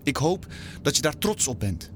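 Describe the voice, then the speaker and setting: A man's voice: fairly high pitch, sing-song, moderate pace, background noise. An actor playing a stern, wounded middle-aged father in an audio drama, grave and emphatic. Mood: grave, pleading. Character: stern, wounded immigrant father urging loyalty and manhood.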